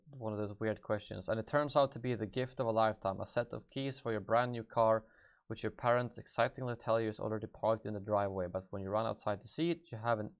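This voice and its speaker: A man's voice: on the low side, muffled recording. A young man with a Swedish accent, reading viewer questions aloud on a live stream and answering them off the cuff, thinking aloud as he goes. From Sweden. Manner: thinks aloud, hesitant and offhand. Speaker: gaming YouTuber.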